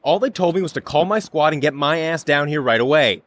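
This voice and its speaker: An actor playing a young, inexperienced army lieutenant, bewildered and exasperated, in an audio drama. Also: male voice, a little high, expressive, fairly quick, natural voice. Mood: confused, indignant. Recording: siren and vehicle noise behind.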